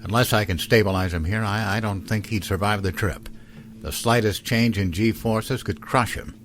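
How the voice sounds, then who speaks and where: Man playing grave, low-voiced ship's doctor. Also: fairly even intonation, moderate pace, fairly bright tone, a little hiss or hum, lightly acted. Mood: serious, cautious. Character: grave male ship's medical officer, cautious and concerned. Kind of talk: science fiction audio drama acting.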